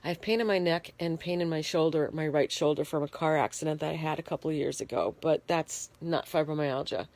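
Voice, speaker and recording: A woman in a bedroom talking frankly into a handheld phone, congested and shivering, pausing often with fillers. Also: low-pitched, a little mellow. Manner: plain-spoken, frank, halting with fillers. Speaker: vlogger. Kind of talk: casual personal video diary. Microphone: phone held in hand.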